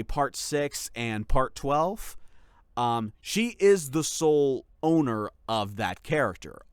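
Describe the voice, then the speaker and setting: A man's voice: mid-range voice, smooth, dry recording, studio quality. A man speaking casually as a channel host, giving a rambling, explanatory update to followers. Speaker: ASMR voice actor and channel host.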